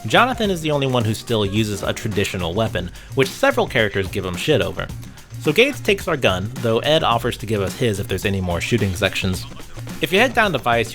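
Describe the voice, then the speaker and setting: A man's voice: on the low side, fairly bright tone. A man narrating a casual scripted video game retrospective, with wry, sarcastic asides.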